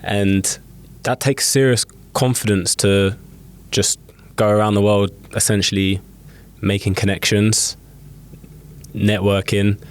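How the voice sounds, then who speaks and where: Young male host with a low voice. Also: flat delivery, clear, resonant tone. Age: young adult. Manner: eager, quick follow-up questions. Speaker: podcast host. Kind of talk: interviewer.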